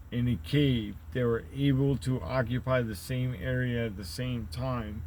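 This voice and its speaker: A man with a deep, rumbly voice who rambles through a profane, conspiratorial monologue and keeps tagging his points with "right?". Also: vocal fry, warm tone, slight background noise, poor audio. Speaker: channel host.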